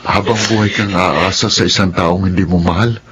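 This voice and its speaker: A man's voice: low voice, fairly even intonation, moderate pace, a bit bright, slight background noise. A Filipino man playing an earnest, devoted suitor in a radio drama. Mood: urging. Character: earnest, devoted male suitor urging independence.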